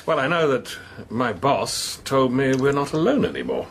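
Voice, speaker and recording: A courteous but probing priest in a naturalistic radio drama. His voice sits at a middling pitch, with measured, hesitant questioning. There is audible noise behind him. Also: big pitch swings, creaky. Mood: guarded, probing.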